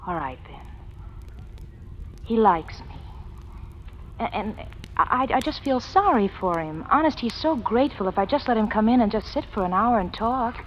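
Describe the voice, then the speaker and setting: Woman playing a noir sweetheart, very expressive swoops. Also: fairly high pitch, moderate pace, long pauses, close to an everyday voice. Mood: coaxing, defensive. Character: crook's sultry, pleading, proud sweetheart in noir drama. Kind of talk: 1940s-50s radio noir acting. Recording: dull, noisy old radio recording.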